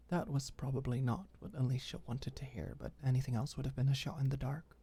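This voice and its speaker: A woman reading scripted ASMR audiobook fiction softly and intimately, with deliberate pauses. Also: very deep voice, husky. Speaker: audiobook narrator.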